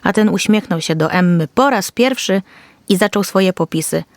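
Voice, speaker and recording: A woman's voice: medium pitch, normal speed, dry recording. A woman storyteller reading a scripted fairy tale for children.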